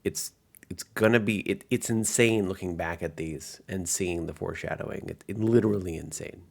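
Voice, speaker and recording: A man's voice: deep voice, normal speed, gravelly. A man speaking informally and chattily as a game master, narrating and commenting on a tabletop campaign.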